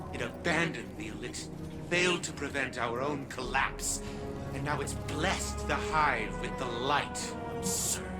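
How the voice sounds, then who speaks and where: An actor playing an arrogant, domineering genius turned digital mind in a video game, with a pompous, commanding manner. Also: male voice, mid-range voice, natural voice. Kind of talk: dramatic game cutscene acting.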